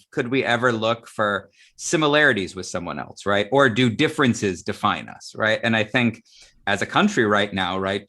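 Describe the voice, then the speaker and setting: A man's voice: fairly low pitch, pushed and bright. An American man in a conversational panel discussion, making points earnestly and checking in with short tag questions.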